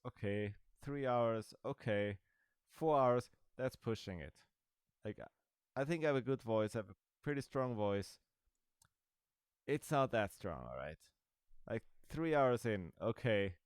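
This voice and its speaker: A man's voice: medium pitch, animated, unhurried, clear, resonant tone, dry recording. A man giving casual, rambling commentary over a game he is playing, with dry, sarcastic asides. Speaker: gaming video creator.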